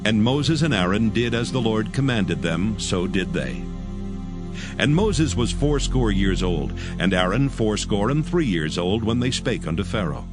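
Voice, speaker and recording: A man narrating a dramatized scripture story in a solemn, measured, scripted style. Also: lowish voice, very animated. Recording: music under the voice. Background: music.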